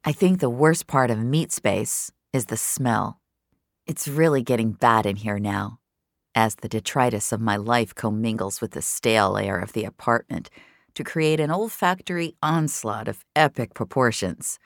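A woman's voice: a bass voice, normal speed, gravelly, dry recording, clean studio sound. A woman narrating scripted fiction in the first person, in a confessional, intimate storytelling manner. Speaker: narrator of an audio fiction series.

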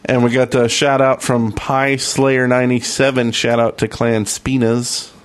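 A man's voice: mid-range voice, little pitch movement, unhurried. A man co-hosting a podcast in a casual, conversational style. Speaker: podcast co-host. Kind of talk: casual podcast chat, reading out listener mail and sponsor.

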